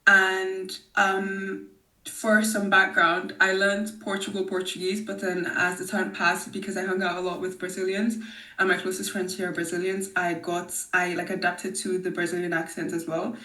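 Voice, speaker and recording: A woman from Nigeria, a podcast guest talking in a lively, expressive way and laughing often. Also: on the low side, normal speed. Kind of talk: casual conversation. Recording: roomy, distant mic.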